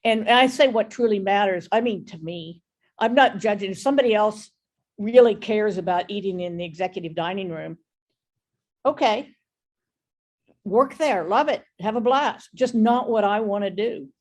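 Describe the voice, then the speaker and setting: A woman's voice: medium pitch, normal speed, a little mellow. Frank woman giving business opinions. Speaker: guest author and manufacturing business expert. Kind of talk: conversational talk and Q&A on business. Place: online video meeting.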